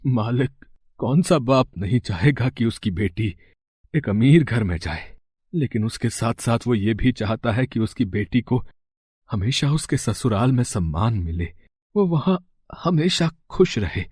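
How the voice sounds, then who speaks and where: A man's voice: mid-range voice, expressive, a little slow, darkish tone, breathy edge, dry recording, studio quality. A man playing a poor middle-aged village father, anxious, hesitant and deferential, in a moral story drama. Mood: worried.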